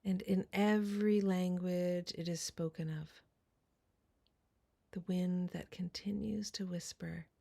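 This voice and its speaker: Slow, low-voiced woman guiding meditation. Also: steady tone, vocal fry, warm tone. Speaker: guided meditation teacher. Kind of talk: guided meditation.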